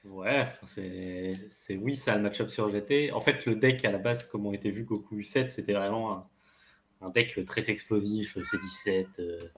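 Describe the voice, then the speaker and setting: A man's voice: fairly low pitch, normal speed, roomy sound, slight background noise. A man on a card game panel, casually explaining plays step by step with trailing restarts. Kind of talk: casual strategy discussion.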